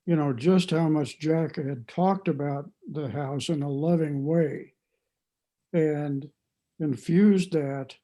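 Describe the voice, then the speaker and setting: A man with a very dark, mellow tone and a slightly high pitch gives his view in a book discussion. He speaks slowly and haltingly, searching for the right words. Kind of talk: reflective opinion in group discussion.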